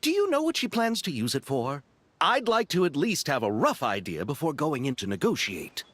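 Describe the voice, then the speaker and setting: A man playing a polite, excitable film director with a flowery, dramatic way of talking in a video game cutscene. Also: fairly high pitch, normal speed, slight background noise.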